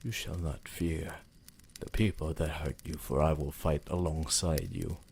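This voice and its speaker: Man voicing a very deep, gravelly vampire lover. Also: fairly even intonation, a little mellow, a little hiss or hum, heavily acted. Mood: possessive, tender. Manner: slow, formal, tender, theatrical endearments. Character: devoted, possessive, romantic immortal male vampire lover. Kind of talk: ASMR roleplay monologue.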